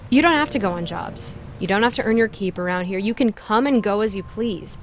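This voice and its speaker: A woman's voice: mid-range voice, animated, brisk, a little hiss or hum, natural voice. A woman playing a guarded, lonely young goddess, blunt and sardonic, in an audio drama. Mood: earnest. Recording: music behind the voice.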